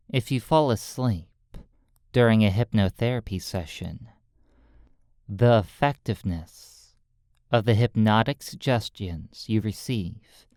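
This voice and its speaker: A hypnotist speaking in a soothing, deliberate way, pausing between short phrases as in a guided sleep roleplay, close to the microphone. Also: medium pitch, a little mellow, no echo. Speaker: hypnotist and recording host.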